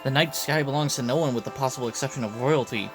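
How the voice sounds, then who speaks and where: A man's voice: medium pitch, quick pace, music in the background, natural voice. A man voicing an arrogant, perceptive king from a game, with pompous, formal speech. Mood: lordly.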